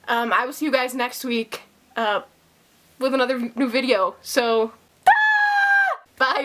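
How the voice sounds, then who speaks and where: A woman fan vlogging from her bedroom into a handheld camera, gushing excitedly and swearing freely. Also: high-pitched, slight background noise. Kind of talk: casual reaction vlog. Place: hot bedroom at home.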